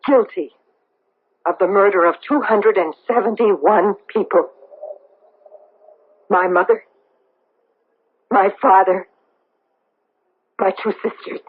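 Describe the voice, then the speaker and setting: Slow, husky, vengeful survivor. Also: female voice, mid-range voice. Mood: grim accusation. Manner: slow, deliberate, accusing. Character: haunted, vengeful woman, survivor of a wartime massacre. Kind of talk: dramatic radio play acting. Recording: tinny, narrow-band old radio broadcast.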